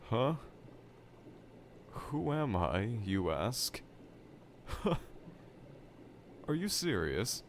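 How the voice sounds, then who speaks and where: A man's voice: fairly low pitch, very animated, takes it slowly, exaggerated voice. A man playing a dejected, pompous evil scientist villain from a cartoonish game, delivering a theatrical, self-pitying roleplay monologue to the listener. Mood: offended. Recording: clear background laboratory ambience noise.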